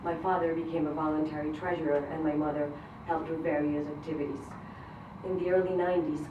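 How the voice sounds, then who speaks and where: A woman from Colombia, a Spanish speaker, giving an earnest, measured prepared speech as a grant recipient. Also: sits low. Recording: roomy, distant mic, noisy event hall.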